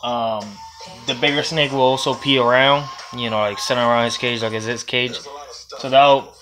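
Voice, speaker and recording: A man's voice: medium pitch, steady tone, moderate pace, a bit bright, soft background music. A young man with a Puerto Rican accent gives a casual, rambling how-to vlog, filmed on a phone in an indoor reptile room. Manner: loose and rambling, with laughs and swearing. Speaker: reptile breeder and vlogger. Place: indoor reptile room lined with storage tubs. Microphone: phone held close at arm's length.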